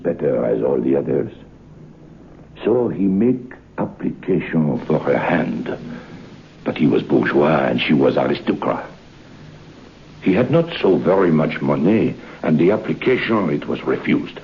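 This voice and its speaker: A grave French waiter with an extremely low, creaky voice, telling a dark tale in an old radio drama. He speaks deliberate, formal broken English with a French accent, on a muffled, slightly hissy vintage recording. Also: full character voice. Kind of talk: old-time radio horror drama acting.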